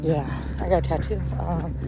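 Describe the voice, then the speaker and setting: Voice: medium pitch, fairly even intonation, normal speed. A person talking casually as a partner in an informal conversation. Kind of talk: casual chat. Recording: music playing behind the voice.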